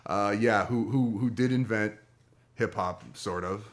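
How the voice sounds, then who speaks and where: Low-voiced American man, wisecracking radio co-host. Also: measured pace. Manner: wisecracking, punning, irreverent. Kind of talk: casual joking banter.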